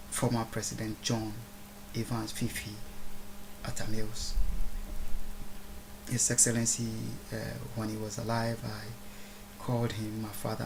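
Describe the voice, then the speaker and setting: A man from Ghana with a Ghanaian accent giving a solemn, formal, oratorical address, halting with long pauses and deliberate repeated phrases. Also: mid-range voice, gravelly, noisy background. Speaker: speaker recording a video address. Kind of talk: solemn public address, unscripted.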